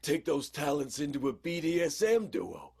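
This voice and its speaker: Man playing a gravelly, bawdy old vaudevillian. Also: a little high, very animated, normal speed, darkish tone, faint music behind, full character voice. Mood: bawdy, nostalgic. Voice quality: gravelly, husky. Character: bawdy, saucy aging vaudeville contortionist performer. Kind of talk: improvised comedic radio play acting.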